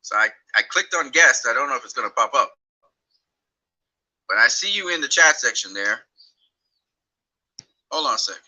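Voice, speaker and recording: A man's voice: medium pitch, expressive, normal speed, long pauses, bright, forceful tone, low-quality recording. A man from Trinidad and Tobago hosting a casual livestream chat on his phone, rambling and repeating words as he goes. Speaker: livestream host.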